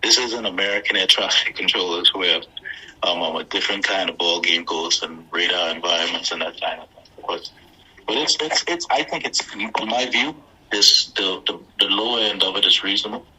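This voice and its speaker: Man, low voice, sharp forceful edge, halting interview answers, repeated words. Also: breathy edge, rough recording. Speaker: airport authority chief executive being interviewed.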